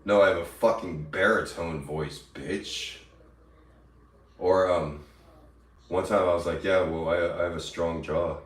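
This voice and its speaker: A man's voice: steady tone, measured pace, pauses often. Man ranting, echoey and far off. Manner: profane, taunting, mocks critics in shrill put-on voices. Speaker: livestreamer. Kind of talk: angry livestream rant. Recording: echoey room, voice from far off the mic.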